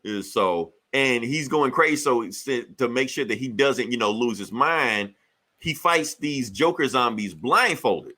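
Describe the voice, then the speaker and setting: Bright-voiced man reviewing comics, sweary. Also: mid-range voice, measured pace. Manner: profane, slangy, animated retelling. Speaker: podcast host. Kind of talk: casual comic book review chat.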